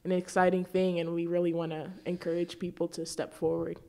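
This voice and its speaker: A woman with a fairly low voice talks as a show host. Her delivery is conversational, like an on-air discussion. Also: flat delivery, a little fast, darkish tone.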